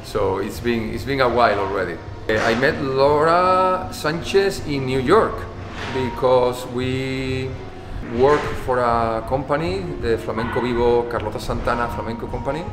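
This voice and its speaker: A man's voice: medium pitch, moderate pace. A man, speaking thoughtfully in an interview, often repeating words. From Spain. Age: 50s. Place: dance studio, indoors. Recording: echoey hall with music behind.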